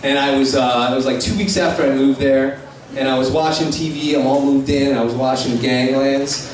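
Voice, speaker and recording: A man performing live stand-up comedy in a bar, telling a story in a casual, halting, sweary way. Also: medium pitch, steady tone. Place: live on stage in a bar and grill. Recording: echoey bar room, distant mic, faint noise.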